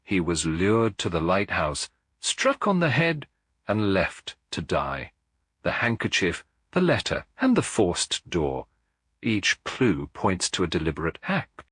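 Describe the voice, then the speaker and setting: A man voicing a shrewd, calm Belgian gentleman detective in a mystery audiobook, deliberate, courteous and theatrical. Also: low voice, breathy edge, no room sound, studio quality, heavily acted. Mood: calm, grave.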